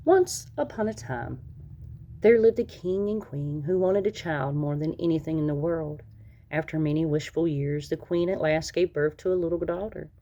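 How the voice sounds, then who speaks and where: A woman narrates a children's storybook in a slightly low voice. She reads aloud expressively, putting weight on important words as a storyteller does. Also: normal speed, mellow, highs cut off. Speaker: storybook reader.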